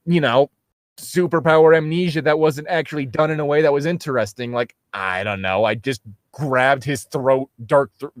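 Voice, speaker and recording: A man's voice: medium pitch, dry recording, clean studio sound. A man co-hosting a casual comedy reading, reading aloud and breaking off into sarcastic, sweary tangents. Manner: sarcastic, swears freely, goes on mocking tangents. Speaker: co-host reading a fan fiction aloud and mocking it. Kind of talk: casual comedic commentary between read-aloud passages.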